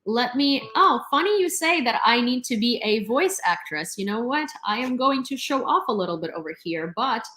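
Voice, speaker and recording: A woman's voice: a little high, lively intonation, moderate pace, hardly any pauses, sharp tone. A young woman hosting a live news stream, chatty and sarcastic, with many fillers. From Ukraine. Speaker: livestream news host. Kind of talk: casual live commentary. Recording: roomy echo.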